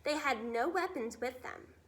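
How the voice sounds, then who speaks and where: A woman narrating a children's storybook expressively, with dramatic, deliberate emphasis. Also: sits high, expressive. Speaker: teacher reading a children's book aloud.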